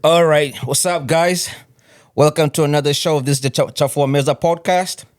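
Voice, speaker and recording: A man's voice: fairly high pitch, fairly even intonation, moderate pace, a little hiss or hum. A man hosting a podcast in a loose, slangy way, repeating himself and often saying "man". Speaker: podcast host. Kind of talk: casual podcast chat.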